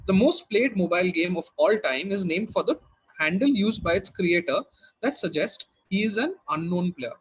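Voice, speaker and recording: Guy quizmaster reading out questions, high voice, bit husky, formal wording. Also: expressive, normal speed, fairly bright tone, dull audio. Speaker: quiz master. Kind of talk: reading quiz questions to contestants.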